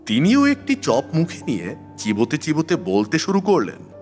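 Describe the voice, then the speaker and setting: A man's voice: fairly high pitch, wildly swooping pitch, moderate pace, darkish tone, faint music behind. A man narrating a scripted horror story in a theatrical, suspenseful way.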